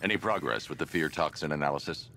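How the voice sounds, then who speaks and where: A man voicing a grim, commanding masked vigilante in a video game, terse and stern with a gravelly voice. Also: extremely low pitch, fast, background noise. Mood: grim, focused.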